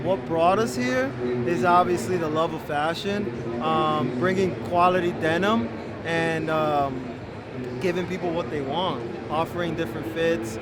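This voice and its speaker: A man representing a denim brand, giving an upbeat, sales-pitch style interview at a trade show. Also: very noisy. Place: busy trade show hall. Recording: heavy crowd chatter behind.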